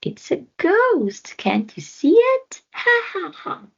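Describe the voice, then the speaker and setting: A mocking cartoonish storybook hyena, done by a woman. The voice shoots up high and swoops, taunting in drawn-out words, on a slightly dull recording. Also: wildly swooping pitch, gravelly, exaggerated voice. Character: mocking, cackling hyena teasing others. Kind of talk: children's storybook read-aloud.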